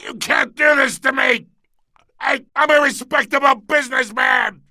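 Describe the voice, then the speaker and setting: An actor playing an older, blustering crime boss in a video game, coarse and swaggering, with a gravelly voice. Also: male voice, very high-pitched, measured pace, bright, forceful tone, no room sound, exaggerated voice. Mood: furious.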